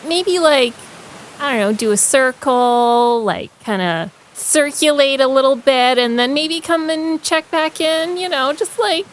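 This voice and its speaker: Girl doing a awkward gushy young adventurer lady, tabletop game, super casual n halting, hissy background. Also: sits high, voice pushed up. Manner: gushing, hesitant, filler-heavy casual chatter. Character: bubbly, awkward, star-struck young adventuring woman.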